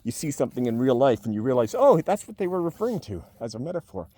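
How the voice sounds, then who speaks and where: A man gives an off-the-cuff, casual review with a wry, joking manner. His mid-pitched voice moves up and down a lot as he rambles from one aside to the next. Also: sing-song, quick pace, mellow, breathy edge. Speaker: video blogger giving a book review. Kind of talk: spontaneous casual talk to camera. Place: on a farm among cows.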